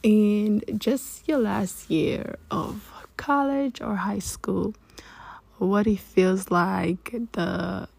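A young woman in her early twenties chats on her solo podcast in a loose, rambling way, often restarting mid-thought. Her voice is gravelly with heavy vocal fry, lower than her usual after a long day awake. The sound is close and dry. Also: fairly even intonation, drawn-out words, a little mellow, dry recording. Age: early 20s. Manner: rambling, chatty, many restarts. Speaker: podcast host. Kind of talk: casual solo podcast chat.

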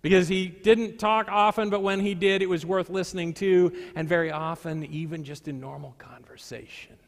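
A male pastor with a high voice preaches in a large church hall with long reverb. He moves between scripture and storytelling, using deliberate pauses and dramatic emphasis. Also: fairly even intonation, gravelly. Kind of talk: sermon with scripture reading and storytelling.

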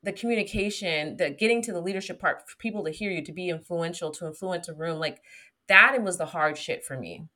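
A woman's voice: fairly low pitch, fairly quick, sharp tone. A woman guest talking in a candid, emphatic way in a casual interview, swearing freely.

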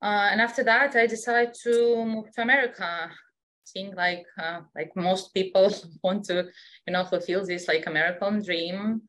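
A woman's voice: medium pitch, steady tone, normal speed, a bit bright. A woman with a Russian accent, a guest on an interview show, chatting casually with lots of fillers and restarts. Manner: chatty, many fillers and restarts, non-native grammar. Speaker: guest, scientific illustrator.

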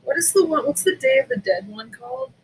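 A woman podcast co-host chatting casually, telling stories in a candid, animated, sweary way. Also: very high voice, brisk, vocal fry, slight background noise. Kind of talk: casual chat.

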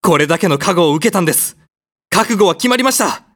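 A man playing a timid, cowardly young mortal hero in a comedic audio drama, polite but whiny, flustered and quick to protest. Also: high-pitched, lively intonation, fairly bright tone, breathy edge, heavily acted. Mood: earnest.

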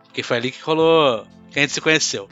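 A Brazilian man with a bright, forceful, fairly high voice chats as a podcast host. He tells stories emphatically and informally, on a slightly dull recording with faint music underneath. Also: fast talker. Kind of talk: casual podcast chat. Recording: muffled mic, faint music bed.

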